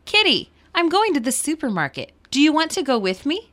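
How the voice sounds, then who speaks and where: Woman, listening-test dialogue reader, very animated pitch, bright tone, clear teaching delivery. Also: brisk.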